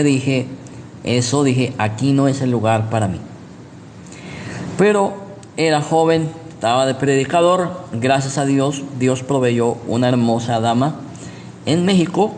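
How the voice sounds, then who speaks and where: A man's voice: medium pitch, darkish tone. Male preacher, Guatemalan accent, didactic sermon delivery with rhetorical questions; long room reverb and some background noise. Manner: didactic, repeats points, checks in with rhetorical questions. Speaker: pastor, preacher. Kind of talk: sermon, teaching with personal anecdotes.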